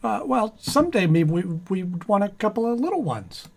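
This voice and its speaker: A man's voice: sits high, sing-song, normal speed, vocal fry, full character voice. A man playing a distracted, mild-mannered husband in a 1940s-style radio comedy, hesitant and stammering. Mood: sheepish. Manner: hesitant, stammering, absent-minded.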